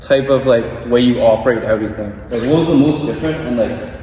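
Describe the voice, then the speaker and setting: A man's voice: medium pitch, fast, mellow. A young man hosting a podcast while cutting hair, asking loose, rambling questions full of "like". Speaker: podcast host and barber. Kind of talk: casual interview. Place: barbershop. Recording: echoey room, distant mic, faint noise.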